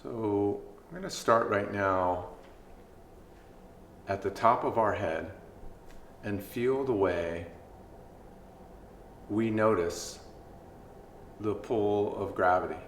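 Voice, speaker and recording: A man leading a guided body scan meditation as a mindfulness instructor, speaking gently with unhurried pauses between phrases. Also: lowish voice. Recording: echoey room, faint background noise.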